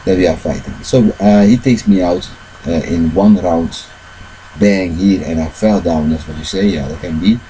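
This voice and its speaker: Deep, dark-voiced man telling a rambling tale. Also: slight background noise, rough recording. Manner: halting, loose grammar, frequent fillers; retells events with shifts into quoted dialogue. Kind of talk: rambling personal storytelling.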